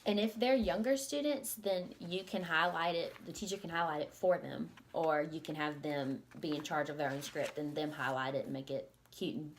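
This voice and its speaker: A woman's voice: low voice, normal speed, few pauses, slight background noise. A young woman co-presenting as a student teacher in a loose, conversational and tentative way. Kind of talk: casual instructional presentation.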